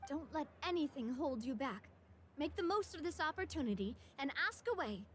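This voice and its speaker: Bubbly girl reporter, game dialogue, very high pitch, animated swings, gushing, eager. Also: moderate pace, a little hiss or hum. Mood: overexcited. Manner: gushing, exclamatory. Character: excitable, eager, bubbly young female reporter. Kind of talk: scripted video game dialogue.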